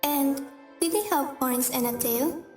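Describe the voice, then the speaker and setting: A woman acts a curious little girl in a radio play, with a very high, eager voice. It comes from off the mic in a roomy space, with music clearly behind. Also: a little slow, darkish tone. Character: curious little girl questioning her mother. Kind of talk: amateur radio drama acting. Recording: distant, echoey room, music behind.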